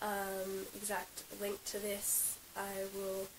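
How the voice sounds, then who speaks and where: A young Australian woman, croaky from being sick, chatting casually in a vlog about her favourite horse gear, giggling often and filling her talk with ums and likes. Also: mid-range voice, monotone, slow. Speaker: equestrian vlogger. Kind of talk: casual show-and-tell vlog. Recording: roomy, slightly distant with hiss.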